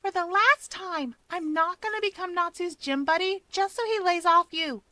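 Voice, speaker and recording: A woman's voice: extremely high pitch, normal speed, bright, forceful tone, dry recording, pitched above the performer's own voice. A woman voicing a cheeky, dramatic young mouse woman, playful, wordy and teasing, in a story reading. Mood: mock hurt.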